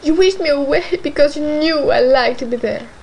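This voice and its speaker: Brief: tearful, wailing young lady, voiced by a woman reader, high and pleading. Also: moderate pace, vocal fry, a little hiss or hum. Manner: wailing, plaintive, high and loud.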